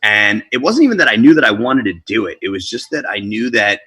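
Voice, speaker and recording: A man's voice: on the low side, brisk, slightly rough, dry recording. A young man born in Colombia and raised in New Jersey, talking casually and energetically as a DJ and MC guest in an interview. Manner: energetic, talkative, street-casual.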